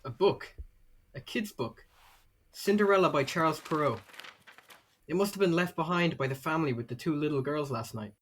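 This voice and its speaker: A man's voice: on the high side, moderate pace, pauses often, a bit bright, slightly rough. A man acting an earnest, kindly young restaurant worker in a children's audio drama. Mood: curious, caring. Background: music.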